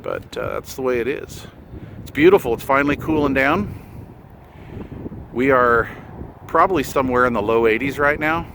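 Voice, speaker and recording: A man's voice: medium pitch, very animated, moderate pace, pauses often, a bit bright. A middle-aged man chatting casually off the cuff in a laid-back, folksy, slangy way, as a hobbyist vlogger. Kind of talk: casual off-the-cuff outdoor vlog and radio chat. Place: outdoors on a hillside road by his vehicle. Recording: windy outdoor noise with vehicle sounds.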